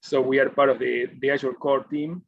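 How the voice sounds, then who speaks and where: A man's voice: mid-range voice, fairly quick, gravelly. A man in his forties from Argentina, speaking with an Argentine Spanish accent, casually introducing himself on a panel. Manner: relaxed, with frequent "you know" fillers. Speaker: engineering manager, panelist. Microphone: video call. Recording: dull lo-fi video call with faint noise.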